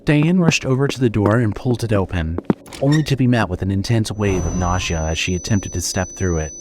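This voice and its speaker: A man's voice: on the low side, brisk, background noise. A man narrating a scripted horror story as the narrator of an audio drama.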